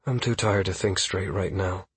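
A man's voice: on the low side, measured pace, breathy edge, no room sound. A man voicing an exhausted, dazed female adventurer who sighs as she talks in a fantasy audiobook. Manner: sighing, dazed.

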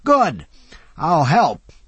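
An old crank of a man, played by a man in a high, loud, husky voice, rolls out his doom like a sermon. He declaims with gleeful grandeur and lively swings of pitch, recorded dry and close. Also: drawn-out words, no room sound, heavily acted.

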